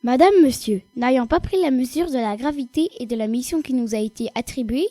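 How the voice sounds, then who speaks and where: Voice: high voice, fairly quick, warm tone, no room sound. A child performer playing a pompous, formal army general in an amateur audio drama. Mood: solemn. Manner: formal, wordy.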